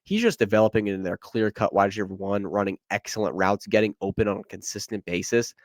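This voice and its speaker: A man's voice: fairly low pitch, dry recording, clean studio sound. A young man hosting a solo sports podcast, stuffed up and a little nasal, talking with energy in a casual, rambling way. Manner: energetic, conversational, quick asides. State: congested, stuffy nose. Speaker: podcast host.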